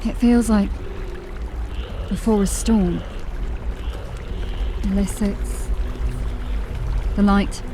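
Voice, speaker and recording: An actress playing a watchful, serious scout in a fantasy audio drama, speaking with uneasy wonder. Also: female voice, medium pitch, fairly even intonation, very slow, pauses often, warm tone. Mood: awed, uneasy. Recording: music under the voice.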